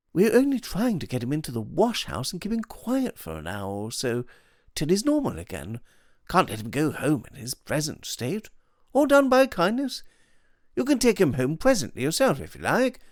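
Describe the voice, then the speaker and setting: A glib, mocking man of leisure, voiced by a male audiobook narrator who talks in a raised, airy register with big swings of pitch. His teasing is arch and sardonic, delivered close and dry with a gravelly edge underneath. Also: dry recording. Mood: smooth persuasive. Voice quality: flippant, arch, sardonic banter.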